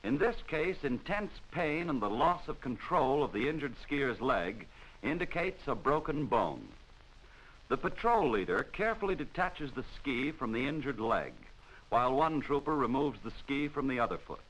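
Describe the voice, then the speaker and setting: An American man narrates in the style of a 1940s military instructional film. His delivery is formal and measured, with a mid-pitched voice that rises and falls in an animated way. It sounds like a vintage film soundtrack. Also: poor audio.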